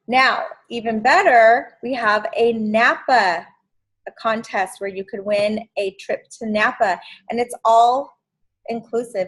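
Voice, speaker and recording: A woman's voice: a little high, low-quality recording. A woman in her fifties hosting a sales training video call, chatty and encouraging. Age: 50s. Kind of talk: casual video call meeting.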